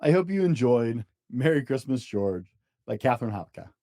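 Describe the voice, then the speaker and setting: Children's picture-book narrator: mellow, gentle tone with lively, swooping intonation. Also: mid-range voice, moderate pace. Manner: animated, gentle, addressing young children. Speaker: storyteller reading a children's book aloud.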